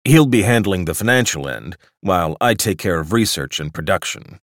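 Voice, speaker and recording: A man's voice: deep voice, animated, moderate pace, no echo, studio quality. A man voicing a sour, peevish male clubman of about fifty, sardonic and lazy.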